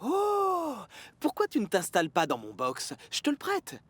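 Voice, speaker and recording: A kindly female locomotive from a children's cartoon. Her husky, welcoming voice sits slightly high and swoops widely up and down. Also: huge pitch swings, measured pace, exaggerated voice. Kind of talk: French cartoon dub.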